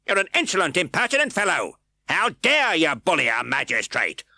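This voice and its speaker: A man's voice: on the high side, very animated, moderate pace, no room sound, pitched above the performer's own voice, full character voice. A man reading aloud and voicing a harsh, sneering male magistrate who barks orders and insults. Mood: barking, scornful. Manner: barking, insulting, shouting orders.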